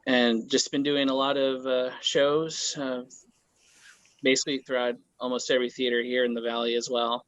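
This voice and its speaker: A man with an American accent talking casually and haltingly as a panelist, on dull lo-fi call audio. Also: medium pitch, level tone, fairly bright tone, dry recording. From California, United States. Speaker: roundtable panelist, theater performer. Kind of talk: casual roundtable talk.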